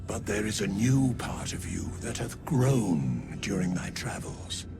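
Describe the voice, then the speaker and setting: Slow, gravelly ancient keeper of the dead. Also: male voice, fairly low pitch, big pitch swings, music in the background, exaggerated voice. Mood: solemn, commanding. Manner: grave, deliberate, formal pronouncements. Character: ancient, solemn undead scribe and keeper of the dead. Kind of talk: archaic, ceremonial fantasy game acting.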